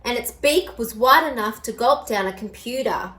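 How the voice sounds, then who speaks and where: A woman storyteller reading a children's picture book aloud in an expressive style. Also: on the high side, normal speed.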